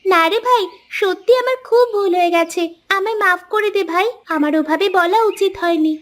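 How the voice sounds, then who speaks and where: A woman's voice: very high voice, normal speed, a bit bright. A woman voicing a confident, chatty eleven-year-old girl in a cartoon story, speaking in an eager, insistent manner. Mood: friendly, earnest. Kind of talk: animated cartoon storytelling dialogue. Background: background music.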